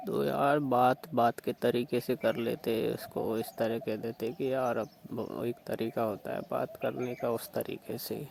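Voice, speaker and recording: Male actor as reproachful confidant in a call drama, gravelly and questioning, slightly noisy phone recording. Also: medium pitch, steady tone, a little slow, few pauses, a little mellow. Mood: sympathetic, chiding. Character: concerned, chiding male confidant of a young bride. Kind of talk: staged phone-call prank drama in Hindi.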